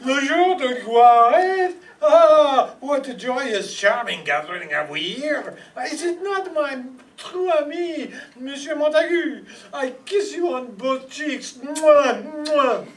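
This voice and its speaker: A man's voice: very high-pitched, takes it slowly, hardly any pauses, heavily acted. An older man playing a flamboyant, bumbling detective posing as a gushing Frenchman with a put-on French accent in a radio play. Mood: exuberant, gushing. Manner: hammy, exclaiming, gushing. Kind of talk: old-time radio acting before an audience. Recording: live stage pickup from far off.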